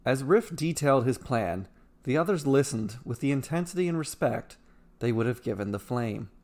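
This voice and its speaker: A man narrating a scripted fantasy story with measured, dramatic delivery. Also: medium pitch, expressive, a little mellow.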